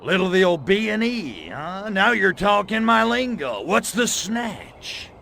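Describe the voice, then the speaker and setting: A brash little alien invader from a video game, with a raspy, fried edge. He drawls slowly and swoops widely in pitch, tossing out slangy, lecherous wisecracks with total swagger. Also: big pitch swings, sharp tone, slight background noise, heavily acted. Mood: eager, cocky. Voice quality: gravelly. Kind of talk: video game cutscene voice acting.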